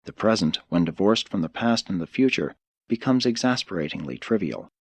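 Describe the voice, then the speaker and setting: A man reading a spiritual audiobook as a narrator, with a measured, formal and earnest delivery. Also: low-pitched, lively intonation, no room sound.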